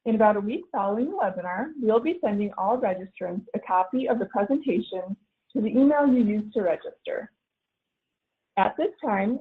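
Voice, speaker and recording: A woman moderating a webinar, reading the welcome and the speaker introductions from a script. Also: medium pitch. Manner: formal, reads from a script. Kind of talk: scripted announcements. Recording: phone-line audio.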